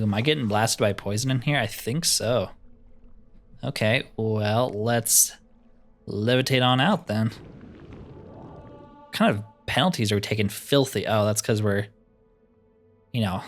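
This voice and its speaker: A man giving casual let's play commentary, thinking aloud as he plays and grumbling wryly. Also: mid-range voice. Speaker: gaming video host.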